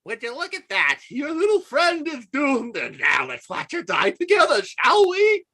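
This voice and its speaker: A power-mad cartoon villain voiced by a woman, high and piercing, with a rough edge. The delivery is theatrical and taunting, leaping about in pitch as it gloats like a mad little king. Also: huge pitch swings, moderate pace, fairly bright tone, no echo, clean studio sound, exaggerated voice. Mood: mocking cruelty. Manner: theatrical, taunting, swooping between phrases. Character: gleeful villain, power-mad mashup of king and bug monster. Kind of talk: animated film script table read.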